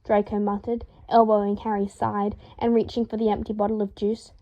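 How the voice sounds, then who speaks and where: A woman reading fiction aloud as an expressive, scripted narration, with emphatic delivery. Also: mid-range voice, a little fast, muffled recording. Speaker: podfic narrator.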